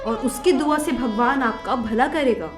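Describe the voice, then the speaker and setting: Woman doing a poor servant girl, kind n polite, high voice, cartoon story with music. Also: a little slow, close to an everyday voice. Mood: earnest. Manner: polite, earnest. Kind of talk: animated moral story dialogue.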